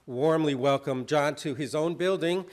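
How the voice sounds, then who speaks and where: A man's voice: a little high, moderate pace. A man hosting a conference, giving a friendly, informal introduction of the keynote speaker with occasional fillers, in a lecture hall.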